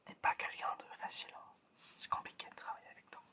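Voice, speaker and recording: A person whispering an ASMR roleplay as an examiner, in a breathy, chatty, playful and hesitant way. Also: fast talker. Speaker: ASMR creator playing an examiner giving sense tests.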